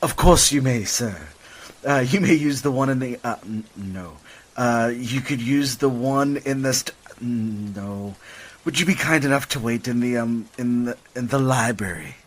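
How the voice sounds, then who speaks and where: A man playing a fussy, excitable butler in a comic murder mystery audio drama, theatrical, formally polite and flustered. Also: medium pitch, a little slow, natural voice. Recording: music bed under dialogue.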